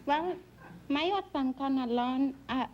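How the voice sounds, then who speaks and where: A young woman from Greece with a Greek accent, speaking halting, broken English as a quiz show contestant. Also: sits high. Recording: dull 1950s broadcast with faint noise.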